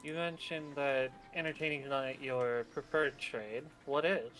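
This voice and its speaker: A man's voice: medium pitch, steady tone, unhurried, fairly bright tone, slight background noise, low-quality recording. A man improvising in-character dialogue as a player in a tabletop roleplay game.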